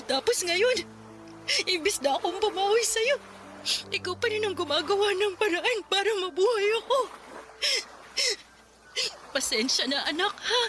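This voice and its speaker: A woman's voice: very high-pitched, expressive, measured pace, pauses often, fairly bright tone, exaggerated voice. An actress playing a loving, thrifty, emotional mother in a Tagalog radio drama, fretting and tender. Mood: tearful, apologetic. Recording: music bed behind the voice.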